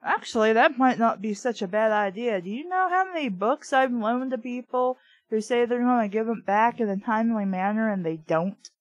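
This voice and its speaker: A woman's voice: a little high, expressive, moderate pace, no echo, rough recording. A young adult woman reading aloud and commenting casually, in a blunt, opinionated way. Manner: blunt, opinionated, swears freely. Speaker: host reading a children's book aloud with commentary.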